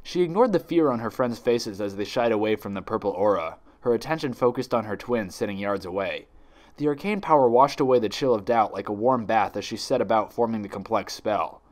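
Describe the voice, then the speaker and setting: Man narrating with very lively pitch. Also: brisk. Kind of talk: dramatic fan fiction story reading.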